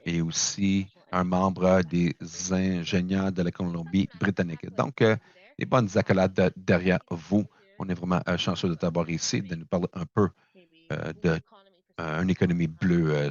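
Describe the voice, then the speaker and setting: Deep-voiced host introducing a guest. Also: male voice, fairly even intonation, normal speed, highs cut off. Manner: hesitant, filler-heavy. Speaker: event host introducing a speaker. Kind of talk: speaker introduction.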